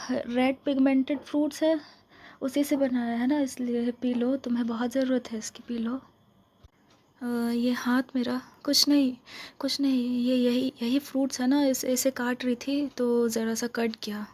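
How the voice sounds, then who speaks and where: Breathy, slow-talking vampire girlfriend, acted by a woman. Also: a little high. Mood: sweet, coaxing. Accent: Indian. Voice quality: breathy, soft, often whispering. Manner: intimate, coaxing, lingering repetitions. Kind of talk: soft-spoken romantic ASMR role-play in Hindi and English.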